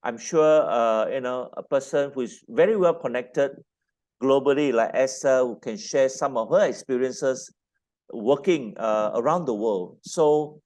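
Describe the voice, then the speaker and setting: A man moderating a conference panel, speaking formally with occasional filler pauses. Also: mid-range voice, darkish tone.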